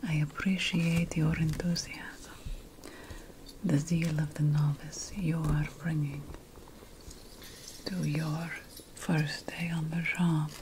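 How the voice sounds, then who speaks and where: A woman playing an ancient, wry and fussy witch mentor in a slow, breathy whispered ASMR fantasy roleplay. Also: low voice, little pitch movement, a little mellow, slight background noise, low-quality recording. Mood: fussy, instructive. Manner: slow, deliberate, wry, theatrical. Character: ancient Mesopotamian witch mentor, teasing, fussy about plant remedies.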